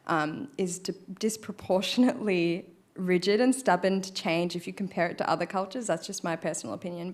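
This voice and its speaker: A woman speaking frankly as a guest on a panel, chatty with many fillers. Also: lowish voice. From Australia. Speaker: guest artist. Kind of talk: panel discussion.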